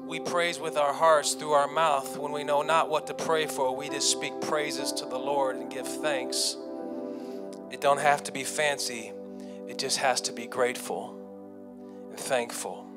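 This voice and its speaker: A man's voice: on the high side, little pitch movement, unhurried. An American man in his thirties preaching a sermon as a pastor, folksy and earnest, speaking into a handheld microphone. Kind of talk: sermon to an online congregation. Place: small indoor church stage room. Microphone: handheld microphone held close. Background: keyboard music.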